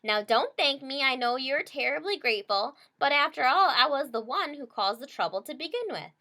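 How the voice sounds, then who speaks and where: A woman's voice: high voice, expressive, moderate pace, pushed and bright, pitched above the performer's own voice, exaggerated voice. A woman doing prim, gushing Goldie. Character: fussy, bossy older woman Goldie.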